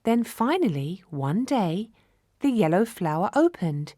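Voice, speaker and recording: Female narrator for children's fairy tales: mid-pitched, measured, expressive, very dry recording. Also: dry recording. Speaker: storyteller.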